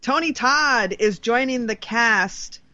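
A woman's voice: fairly high pitch, takes it slowly, pushed and bright, dry recording. A woman co-hosting a podcast, casually chatting and reading out entertainment news. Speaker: podcast co-host.

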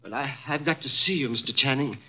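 An actor playing a desperate long-time employee who pleads and flares up, in 1950s radio drama. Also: male voice, mid-range voice, fast talker, husky, close to an everyday voice. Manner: pleading, rising to anger. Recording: dull lo-fi 1950s radio broadcast.

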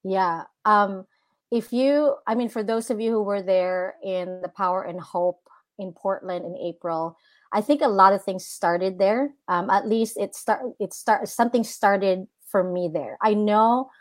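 A woman's voice: mid-range voice, steady tone, measured pace, no echo. A woman, sharing an earnest personal testimony as a guest minister, full of "like" and "you know". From Philippines.

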